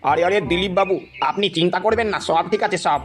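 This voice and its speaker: A man's voice: high voice, quick pace, close to an everyday voice. A man playing a courteous, cautious middle-aged prospective father-in-law in a comedy cartoon, speaking a little hesitantly. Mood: polite, cautious. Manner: polite, hesitant. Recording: music behind the voice.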